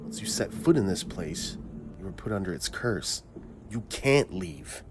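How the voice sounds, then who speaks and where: A man playing a weary, remorseful old father in a horror game, speaking gravely and deliberately. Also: deep voice, lively intonation, background noise. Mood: grave warning. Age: older. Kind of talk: horror game cutscene acting.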